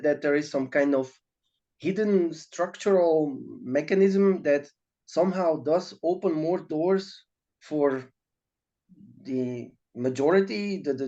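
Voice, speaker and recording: A man's voice: fairly high pitch, unhurried, warm tone, muffled recording. A man interviewing a guest, asking probing questions and often stammering on repeated words.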